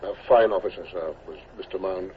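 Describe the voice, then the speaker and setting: An actor playing a dutiful, deferential naval officer with formal speech in a 1950s radio drama. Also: male voice, sits low, brisk, close to an everyday voice. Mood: solemn, respectful. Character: dutiful, respectful male naval captain, second to the commander. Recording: tinny, noisy old radio recording.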